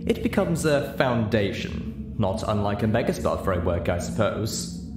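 A man voicing an elegant, ladylike unicorn mare with a refined, gossipy, measured delivery in a story reading. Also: fairly low pitch, very animated, reverberant, noisy background. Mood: explaining.

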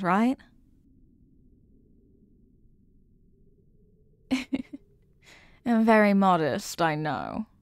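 A woman's voice: mid-range voice, a little mellow, dry recording, close to an everyday voice. Doting, possessive girlfriend, acted by a woman, close and dry. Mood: playful, affectionate. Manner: tender, cooing, breathy sighs and pet names. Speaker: ASMR roleplay voice actor. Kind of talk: intimate girlfriend ASMR roleplay.

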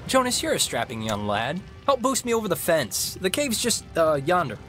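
High-voiced, goofy teenage boy. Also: normal speed, soft background music. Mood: cheeky. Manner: playful, jokey, excitable. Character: chatty, goofy, eager teenage boy, cheeky joker. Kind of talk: naturalistic video game voice acting.

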